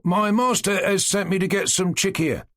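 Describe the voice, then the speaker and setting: A man reading aloud voices a young male servant who talks gently but boasts about his master. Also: high voice, normal speed, pitched above the performer's own voice. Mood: eager. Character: young servant man, cheerful and self-important.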